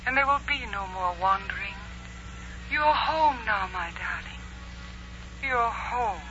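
An actress playing a devoted, tender princess in a 1940s radio romance, speaking in a soothing, romantic manner. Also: female voice, mid-range voice, lively intonation, takes it slowly, noisy background. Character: tender, devoted Aztec princess, lost beloved returning. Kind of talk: 1940s radio drama acting. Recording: muffled 1940s radio broadcast, music behind.

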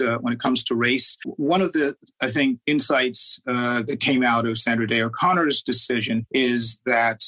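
A man's voice: medium pitch, bright, forceful tone, rough recording. A man from Trinidad posing a long question at a debate, hesitant and full of fillers. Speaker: journalist asking a question.

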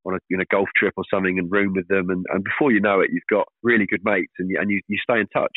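A man in his thirties, a professional cricketer, talking casually in an interview, fairly monotone and hesitant with many fillers and restarts. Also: deep voice, no room sound. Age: 30s. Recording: tinny dry phone line.

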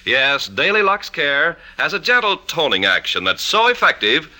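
Forceful, animated American radio pitchman. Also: normal speed. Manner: polished, emphatic salesmanship. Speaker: radio announcer. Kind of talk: 1950s radio advertising patter, scripted.

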